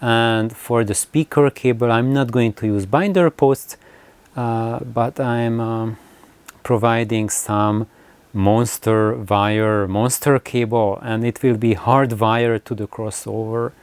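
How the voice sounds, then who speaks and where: Male hobby-channel host: unhurried, halting, casual delivery, smooth clean tone, mid pitch. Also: a little mellow, dry recording. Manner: halting, pauses mid-sentence. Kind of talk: casual unscripted explanation to camera.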